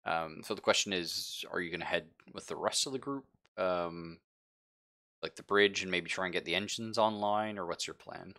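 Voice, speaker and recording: A man acting as game master in a tabletop roleplaying game, describing things conversationally and haltingly as he thinks aloud. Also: low voice, bright, forceful tone. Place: live-streamed game session.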